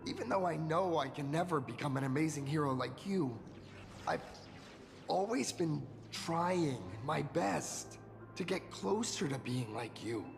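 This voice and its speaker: A humble young rookie hero from a video game, earnest and unsure. The voice is high and lively with a crackle of fry. There is long reverb and music behind it. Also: male voice, measured pace. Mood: hesitant, confessional. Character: earnest, modest, self-doubting young rookie hero, reformed delinquent. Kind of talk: animated game voice acting.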